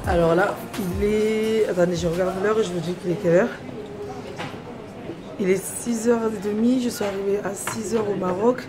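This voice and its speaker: A woman's voice: mid-range voice, steady tone, moderate pace, over loud music. A young woman chatting to her phone camera as a travel vlogger, rambling through her day at an airport and on a plane. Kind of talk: casual vlog chat to camera. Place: airport terminal and plane cabin, indoors.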